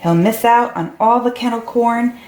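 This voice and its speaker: High-voiced woman reading a children's story. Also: moderate pace, a little mellow. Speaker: storybook reader. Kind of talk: read-aloud story for young children. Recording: roomy, echoey recording.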